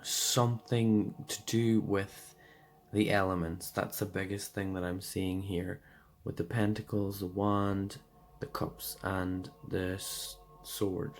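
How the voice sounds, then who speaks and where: Hoarse low-voiced man reading tarot. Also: flat delivery, slow pace. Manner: rambling and loose, full of fillers like "um" and "like". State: hoarse, losing his voice. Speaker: tarot card reader. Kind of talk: casual pick-a-card tarot reading.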